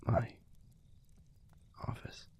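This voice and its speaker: A man playing a tender, wistful werewolf boyfriend in an intimate audio roleplay, speaking in a hushed, halting, husky and breathy voice with a gravelly edge. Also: whispered. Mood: tender, reflective. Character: tender, wistful young male werewolf pack leader boyfriend.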